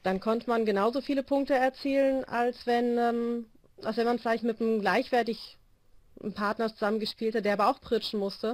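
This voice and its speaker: Give me a woman coach talking over a phone in a video call, slightly high voice, lots of "ähm". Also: fairly even intonation, fairly quick, no room sound. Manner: explanatory, many hesitation fillers. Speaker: volleyball coach. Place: small room indoors. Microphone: phone held to ear.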